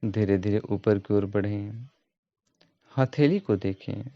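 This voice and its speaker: A man giving guided meditation instruction as a yoga teacher, in a calm, measured, repetitive way. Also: fairly low pitch. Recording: roomy echo, dull muffled sound.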